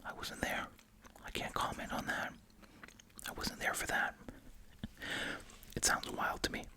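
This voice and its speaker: Asmr host whispering breathy up close on the mic, chewing gum, reading stuff out and chatting casually. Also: unhurried, bright, forceful tone. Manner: chewing gum while talking.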